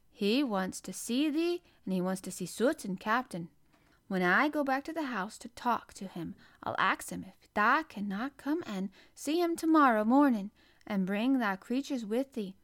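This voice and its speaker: A woman plays a spirited young girl, a little higher than the narrator, earnestly shaping her borrowed Yorkshire accent. She speaks with proud care. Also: expressive, measured pace.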